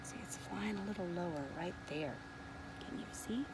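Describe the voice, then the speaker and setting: A woman preschool teacher reading a picture book aloud to young children in an expressive, deliberate way, with softer asides inviting them to look. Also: on the low side, a little hiss or hum, rough recording. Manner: expressive, deliberate, often pausing to ask the listener to look.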